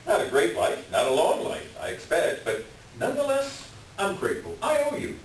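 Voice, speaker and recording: A man acting a glib, evasive con man posing as a doctor in a stage reading, halting as he smooth-talks. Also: high voice, wildly swooping pitch. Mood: glib, unruffled. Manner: hesitant, halting, smooth-talking. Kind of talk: staged readers' theater acting. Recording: echoey room, distant mic, faint noise.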